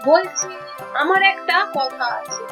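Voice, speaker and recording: High-pitched melodramatic village landlady, played by a woman. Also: unhurried. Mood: remorseful. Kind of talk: melodramatic cartoon dubbing. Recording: muffled, loud music behind.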